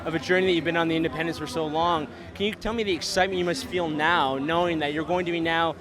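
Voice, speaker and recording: A man's voice: fairly high pitch, fairly even intonation, fast talker, crowd noise. A man interviewing a wrestler on site, eager, asking long stacked questions. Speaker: podcast interviewer. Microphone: handheld camera mic.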